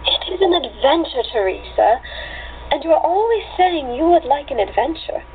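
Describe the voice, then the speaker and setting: A woman's voice: high voice, lively intonation, moderate pace. A woman playing an eager, brave young seamstress daughter, earnest and dutiful, in an amateur radio play. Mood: dutiful, hopeful. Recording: tinny phone-like line with hum.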